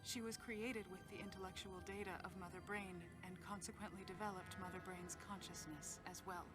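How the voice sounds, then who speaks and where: A woman playing a composed female scientist who heads a facility, speaking in a measured, explanatory way in a game cutscene, with loud music behind. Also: mid-range voice, fairly even intonation, close to an everyday voice. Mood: explaining. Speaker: facility head character. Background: loud game score.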